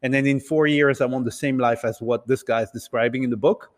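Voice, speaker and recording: A man who grew up in Italy and other countries, telling his story casually as an interview guest in a halting, hesitant way. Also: medium pitch, fairly even intonation. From Italy and several other countries. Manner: hesitant, halting delivery with repeated words. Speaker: podcast guest, entrepreneur. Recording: reverberant, hall-like room sound.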